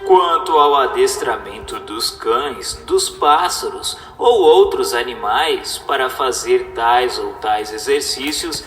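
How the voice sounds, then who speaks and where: A man giving a scripted, solemn reading in a formal, didactic and deliberate manner, as a narrator. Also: medium pitch, expressive, fairly bright tone. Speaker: narrator reading a spiritist text. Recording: echoey reverberant voice with music. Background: music.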